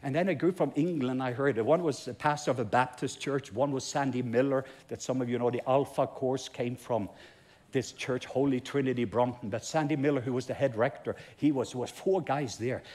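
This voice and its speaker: A man's voice: medium pitch, moderate pace, darkish tone. A Norwegian man, a pastor speaking English as his second language, tells a testimony in a sermon with emphatic, repeating build-ups. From Norway.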